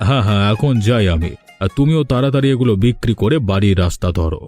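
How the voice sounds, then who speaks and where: A man voicing a friendly, nostalgic, ageing village gentleman in a cartoon fairy tale. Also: medium pitch, expressive, fast talker, faint music behind, natural voice.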